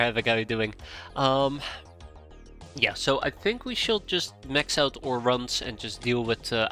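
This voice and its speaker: Bright-voiced guy with a stuffy cold, rambling thru his game stream, faint music behind. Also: mid-range voice. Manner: rambling, thinking aloud, easily sidetracked. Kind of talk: live gameplay commentary, chatting with viewers.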